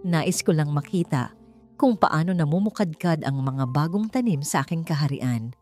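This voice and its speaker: Woman narrator as gentle, solemn king, mellow. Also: low-pitched, lively intonation, normal speed, faint music behind. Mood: solemn, kindly. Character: gentle, wise, benevolent male king. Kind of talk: storybook narration dialogue.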